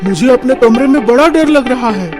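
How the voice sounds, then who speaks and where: A woman voicing a meddling, bossy middle-aged mother-in-law in an animated family comedy, with a theatrical, drawn-out delivery. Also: fairly high pitch, natural voice. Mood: feigned fear. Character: meddling, scheming mother-in-law who relishes spoiling couple's privacy. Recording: music bed under the voice.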